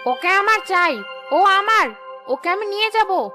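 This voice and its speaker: Very high-pitched menacing witch-ghost. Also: expressive, unhurried, music in the background. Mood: gloating menace. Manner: taunting, commanding. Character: menacing possessive female village witch-ghost, luring and gloating. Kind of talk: cartoon horror acting.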